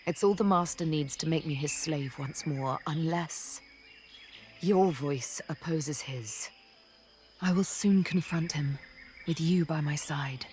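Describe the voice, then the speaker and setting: An actress playing a haunted, wistful young elven woman in a video game, telling her tale in deliberate, poetic, theatrical phrases. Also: deep voice, a little mellow, a little hiss or hum. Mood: tender, vulnerable. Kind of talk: dramatic video game dialogue, intimate storytelling.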